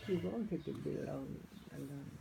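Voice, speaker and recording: Very mellow, creaky-voiced man. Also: on the high side, moderate pace. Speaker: companion commenting on poems. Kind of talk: casual chat. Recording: noisy amateur phone recording.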